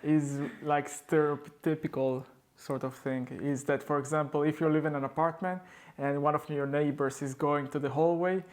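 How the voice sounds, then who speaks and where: A woman's voice: deep voice, fairly even intonation, normal speed, darkish tone. A woman chatting casually as a co-host, explaining things in a slightly hesitant way. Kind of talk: casual chat.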